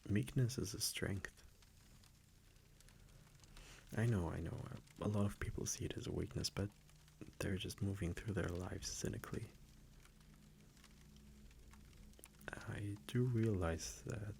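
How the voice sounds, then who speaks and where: A man playing a gentle, affectionate vampire boyfriend in an intimate ASMR audio roleplay, speaking softly and often dropping into a whisper. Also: low voice, moderate pace, slight background noise. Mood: protective, soothing. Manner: soft-spoken, breathy, tender, often dropping to a whisper. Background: soft fireplace ambience.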